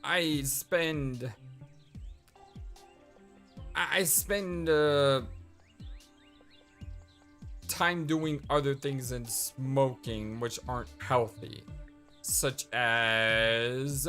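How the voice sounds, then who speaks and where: A woman's voice: a bass voice, very animated, very slow, long pauses, fairly bright tone, soft background music. A woman hosting a let's play, chatting casually and reading game dialogue aloud between personal asides. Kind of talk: casual gameplay commentary.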